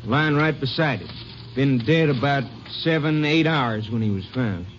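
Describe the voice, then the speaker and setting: A man's voice: medium pitch, a little slow, lightly acted. A man playing a defensive small-town lawman. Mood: defensive, curt. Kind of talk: 1950s radio drama acting. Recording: dull old radio recording with faint hiss.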